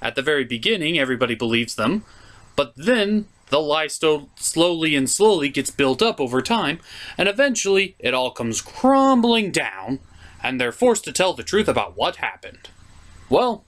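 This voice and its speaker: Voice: medium pitch, very animated, normal speed, a bit bright. A comedic host reviewing cartoons from a script in a theatrical, sarcastic, exclamatory way.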